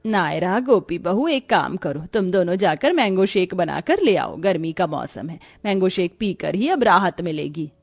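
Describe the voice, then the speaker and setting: A woman's voice: on the low side, big pitch swings, normal speed, vocal fry, few pauses, heavily acted. A woman voicing a bossy, scolding middle-aged mother-in-law in a dramatized moral story. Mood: concerned.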